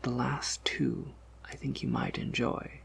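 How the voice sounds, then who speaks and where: Male performer as courteous vampire apothecary keeper, ASMR roleplay: unhurried, heavily creaky whisper, gentle, formal, quietly amused. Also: mid-range voice, level tone, full character voice. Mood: politely coaxing. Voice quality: breathy whisper with gravelly creak.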